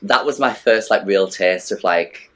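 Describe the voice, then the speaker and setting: Guest: young camp man, low-pitched, chatty, keeps asking "you know what I mean". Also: unhurried. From northeast England. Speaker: guest, drag performer. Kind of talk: casual interview chat.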